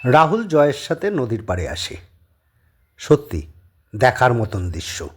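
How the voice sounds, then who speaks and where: A man narrating a scripted dramatic story, with a husky voice. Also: mid-range voice, wildly swooping pitch, unhurried, some chatter behind.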